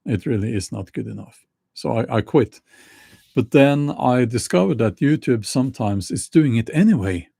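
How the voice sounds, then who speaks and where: Norwegian guy rambling slow to camera, gravelly low-key voice, dry close sound. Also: expressive, dark tone, no echo. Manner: slow, halting, many restarts and fillers. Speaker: YouTuber. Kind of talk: casual monologue to camera.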